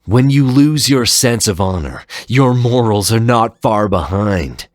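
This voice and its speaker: A man's voice: fairly low pitch, animated, measured pace, no echo, clean studio sound, pitched above the performer's own voice. A man voicing an honorable veteran warrior, earnest and imploring, in a dramatic story reading. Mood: reproachful. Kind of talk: dramatic fan fiction reading.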